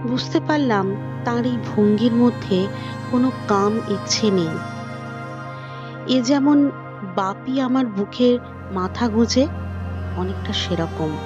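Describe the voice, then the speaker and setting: A woman's voice: mid-range voice, dull audio, natural voice. A woman voicing a tender, motherly wife who narrates her married life reflectively and intimately in an audio story. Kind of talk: dramatised audio story narration in first person. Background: prominent music.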